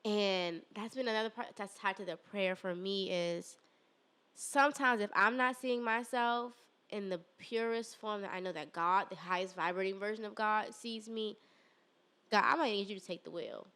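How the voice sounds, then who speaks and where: A woman's voice: mid-range voice, moderate pace, smooth. A woman podcast host talking earnestly in a casual spiritual chat, a little congested. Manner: earnest, animated, breaks into song.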